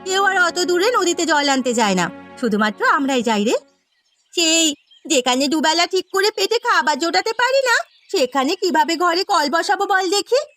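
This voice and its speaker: A woman's voice: very high voice, animated, fairly quick, fairly bright tone, no echo, soft background music. A woman voicing a poor, earnest and deferential village girl, an elder sister in a cartoon story. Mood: weary explaining.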